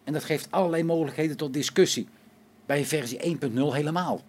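A man playing a skeptical, wary engineer on a space station in a science fiction radio play, speaking in an informal, expressive way. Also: fairly high pitch, fast talker, husky.

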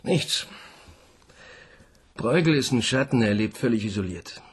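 A man's voice: medium pitch, animated, a little slow, breathy edge. An actor playing a junior police detective in a radio crime drama, eager and deferential, chatty with his boss.

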